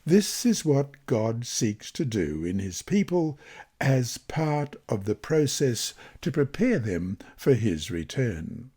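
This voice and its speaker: A man from Australia reads a scripted Bible study lesson aloud, with measured, deliberate phrasing and emphatic pauses. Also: medium pitch, sing-song, warm tone, husky. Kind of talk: scripted devotional reading.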